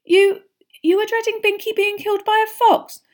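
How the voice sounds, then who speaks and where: Lady doing an earnest teen schoolgirl in a storybook, higher voice, insistent, a bit anxious. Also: level tone, normal speed. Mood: anxious, timid. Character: earnest, impatient teenage schoolgirl, protective and quick to argue. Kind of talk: audiobook character voice.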